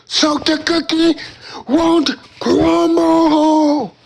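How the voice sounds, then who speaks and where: A man's voice: very high voice, little pitch movement, vocal fry, darkish tone. A man commentating his own game, drawing out dramatic, boastful taunts and calling opponents noobs. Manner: drawn-out dramatic chanting taunts. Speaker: gamer commentating own match. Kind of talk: hyped trash-talk commentary.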